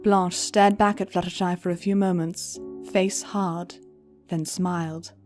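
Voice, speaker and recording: A woman's voice: on the low side, steady tone, a little slow, a little mellow, soft background music. A woman reading scripted story narration as an audiobook narrator.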